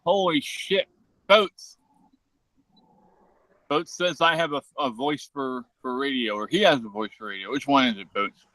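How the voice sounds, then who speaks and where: A man hosting a casual livestream, easygoing and relaxed, reading out the chat and swearing now and then. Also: mid-range voice, a little slow, pauses often, dry recording, low-quality recording. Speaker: livestream host.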